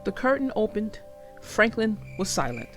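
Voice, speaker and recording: A woman narrating a read-aloud story for young children with deliberate, emphatic phrasing. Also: medium pitch, very animated, soft background music.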